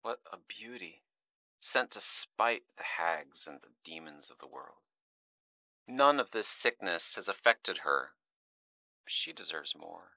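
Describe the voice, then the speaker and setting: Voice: low voice, animated, takes it slowly, dry recording, heavily acted, poor audio. An actor playing a despairing, unhinged zealot who delivers brooding, menacing monologues in a horror audio drama. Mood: reverent, bitter. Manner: brooding monologue swinging from hushed to explosive.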